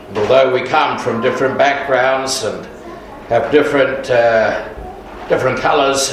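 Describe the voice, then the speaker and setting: A man leading a communion service, speaking quietly and solemnly in prayer without a microphone. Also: medium pitch. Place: large echoey church without a mic. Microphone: distant room recording. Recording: far-off voice in an echoey hall with noise.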